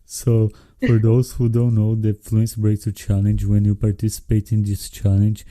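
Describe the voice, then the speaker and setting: A male podcast host speaks in a low, very dark, mellow voice with little rise and fall. His English is friendly and measured, with small non-native slips. The recording is dry and close. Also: completely flat delivery, no echo. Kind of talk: casual interview hosting.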